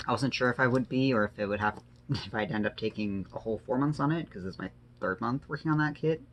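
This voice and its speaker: A man's voice: mid-range voice, quick pace, highs cut off, a little hiss or hum. A man chatting casually and informally as he hosts a craft vlog, rambling a little and correcting himself as he goes. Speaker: host of a diamond painting vlog. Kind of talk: casual chat while working on a craft.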